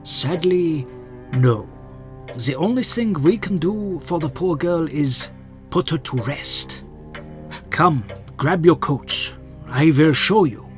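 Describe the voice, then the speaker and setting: An older gentleman vampire hunter, acted by a man, courtly and stern in a theatrical audio drama. He speaks slowly and grandly in a fairly high male voice that swings expressively, over music. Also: animated. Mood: grave. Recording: music under the dialogue.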